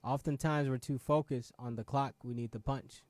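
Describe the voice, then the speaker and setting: A man's voice: medium pitch, fairly even intonation, normal speed, a little mellow, no echo. A man in his late twenties, originally from Ghana, hosting his own show and giving a motivational talk, pressing viewers with repeated rhetorical questions and frequent "right?" asides. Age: 20s. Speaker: show host.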